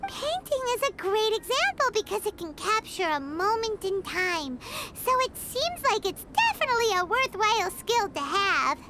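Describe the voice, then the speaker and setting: A woman voicing a tiny, childlike fairy companion in a video game, chatty, excitable and quick to get indignant. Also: very noisy. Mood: cheerful, eager. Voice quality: very high, squeaky, childlike. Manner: refers to herself in third person, exclamatory. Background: music.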